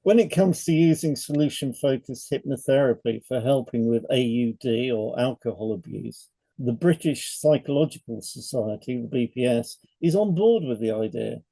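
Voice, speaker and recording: A man narrates an educational podcast from a script. His voice is mellow and relaxed, with a noticeable gravelly fry. He explains things in a measured way, in a very dry acoustic. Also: medium pitch, dry recording, rough recording. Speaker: podcast co-host, hypnotherapist.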